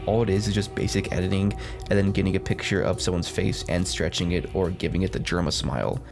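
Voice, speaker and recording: Male commentary host, low register, blunt sarcastic delivery, casual scripted style, clear music bed. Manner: sarcastic, blunt, swears freely. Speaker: video essay host. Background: background music.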